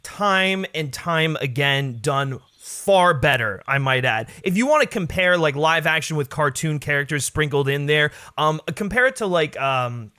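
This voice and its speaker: A man's voice: fairly high pitch, expressive, measured pace, few pauses, bright, forceful tone, clean tone, no echo, clean studio sound. A man chatting casually about films as a podcast guest, emphatic and opinionated, peppering his talk with filler words. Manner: emphatic, peppered with "like" and "you know". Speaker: podcast guest, film commentator. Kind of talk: casual opinionated film chat.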